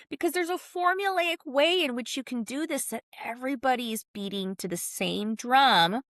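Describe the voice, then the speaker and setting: A woman's voice: fairly high pitch, fairly bright tone, no echo, clean studio sound. A woman explaining ideas as an interview guest, speaking conversationally in an emphatic, punchy way with colloquial asides. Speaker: guest, brand consultant and author.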